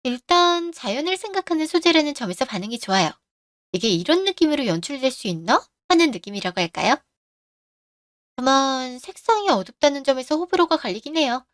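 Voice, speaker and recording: A woman's voice: high voice, very animated, fairly quick, a bit bright, dry recording, clean studio sound. A woman voicing a polite, upbeat young female shop employee in a radio drama. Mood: chatty.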